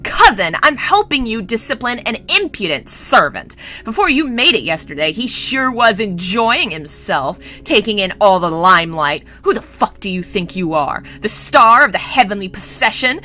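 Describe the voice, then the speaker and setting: A woman voicing a spoiled young nobleman in a novel reading, furious, sneering and foul-mouthed as he shouts. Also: medium pitch, very animated, moderate pace, few pauses, voice pushed up. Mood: raging.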